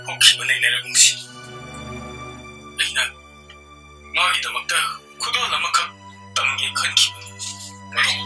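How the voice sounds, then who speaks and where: Audio play lead: male actor doing a dying young guy, pleading and breathy, rapid delivery, music score underneath. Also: medium pitch, close to an everyday voice. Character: young man Pari, remorseful, dying. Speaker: radio drama actor. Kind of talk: Manipuri audio play acting.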